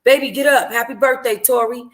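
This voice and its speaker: A doting, earthy boyfriend from a romance novel, voiced by a woman. He sweet-talks casually in a bright tone. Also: on the high side, steady tone, a little fast, far from the mic, voice pushed up, natural voice. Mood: affectionate.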